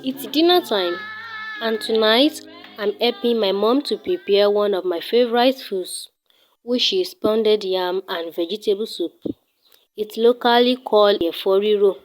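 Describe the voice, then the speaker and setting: A teenage girl with a Nigerian accent, narrating a tour of her home and her daily routine in a careful, measured way. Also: medium pitch, faint music behind, low-quality recording. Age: teens. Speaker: student showing her home.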